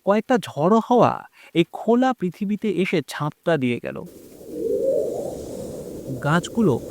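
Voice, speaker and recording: Male audio-story narrator, dramatic scripted delivery, fairly high pitch, faint background ambience. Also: sing-song, measured pace, a little hiss or hum.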